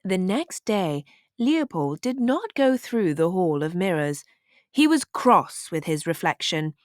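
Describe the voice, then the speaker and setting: A woman narrating a children's storybook aloud in an expressive, deliberate way. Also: lowish voice, no room sound, clean studio sound.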